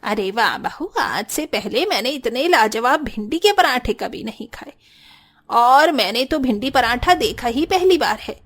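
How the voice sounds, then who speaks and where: A woman puts on a nosy neighbour auntie for a cartoon tale. She is effusive and forward, a food lover with a fairly high voice. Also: expressive, a little slow, a bit bright, full character voice. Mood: gushing praise. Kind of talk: Hindi cartoon story dialogue.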